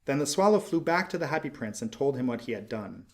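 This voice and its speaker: A man reading a storybook aloud as scripted narration, in an expressive, measured storytelling manner. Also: medium pitch. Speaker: audiobook reader.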